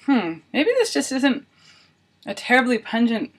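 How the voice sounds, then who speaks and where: A woman's voice: fairly high pitch, very animated, unhurried, breathy edge. A woman video blogger talking casually and thinking aloud, with wry, self-deprecating humour. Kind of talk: casual chatty vlog narration of a homemade experiment.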